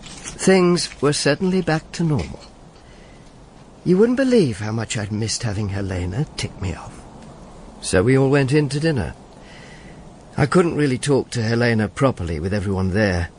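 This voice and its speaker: A man's voice: mid-range voice, big pitch swings, normal speed, pauses often, darkish tone, slight background noise, lightly acted. A man playing a world-weary, sardonic Roman informer who narrates and speaks in a radio drama. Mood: wry narration. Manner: dry, sardonic. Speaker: lead actor and narrator.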